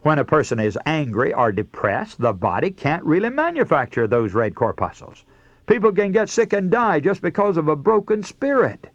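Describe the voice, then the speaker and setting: A man's voice: a little high, sing-song, moderate pace, no room sound, highs cut off. A man preaching a scripted radio sermon in an earnest, rhetorical way, addressing listeners directly. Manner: earnest, rhetorical, emphatic oratory.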